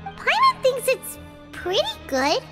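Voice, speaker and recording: A woman voicing a small, childlike, chirpy and blunt fairy companion in a video game, with a cutesy squeaky voice. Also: wildly swooping pitch, slow pace, over loud music, heavily acted. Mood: curious, chirpy. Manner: refers to self in third person, cutesy.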